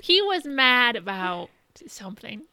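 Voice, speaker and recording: Clear, bright-voiced woman chatting casually. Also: fairly high pitch, very animated, a little slow, no room sound, clean studio sound. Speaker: podcast co-host. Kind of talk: casual chat, recapping shows and films.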